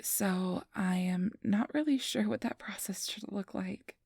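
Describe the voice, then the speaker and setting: A woman playing a friendly, easily flustered registration clerk in a soft-spoken ASMR roleplay, gentle, hesitant and reassuring. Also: medium pitch, unhurried, creaky, breathy edge, no room sound, clean studio sound. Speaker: interviewer.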